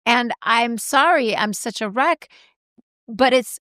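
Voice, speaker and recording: A woman co-hosting a casual chat show, congested and clearing her throat, telling animated self-deprecating stories. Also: on the high side, takes it slowly, no echo. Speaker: podcast co-host.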